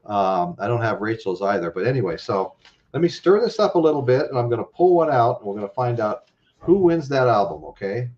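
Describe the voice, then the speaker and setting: A man's voice: medium pitch, sing-song, a little fast, a little mellow, lo-fi sound. A man hosting a casual live-stream chat, rambling with lots of fillers and frequent laughs. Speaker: host.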